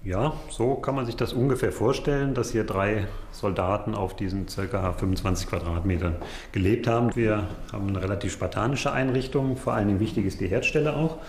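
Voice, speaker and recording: A man explains things factually as an expert guide in an on-location interview. His voice is medium in pitch, with clear echo around it and faint noise behind. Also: brisk, darkish tone. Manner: factual, explanatory, spontaneous phrasing. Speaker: archaeology expert and site guide. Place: on location at a museum site. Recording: echoey on-site sound with background noise.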